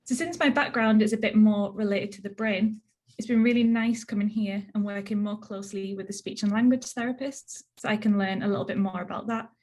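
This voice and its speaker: A woman's voice: mid-range voice, steady tone, brisk, a little mellow. A young woman from northeast England with a Northeast English accent, giving a research talk over a video call, chatty and plain-spoken. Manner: chatty, plain explanations with frequent "so" and "um".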